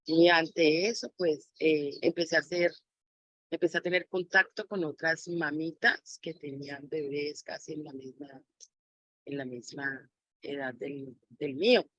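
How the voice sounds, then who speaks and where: A woman's voice: low-pitched, steady tone, a little slow, fairly bright tone, dry recording, poor audio. A woman speaking reflectively as an interviewee, sharing personal experience as a mother. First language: Spanish.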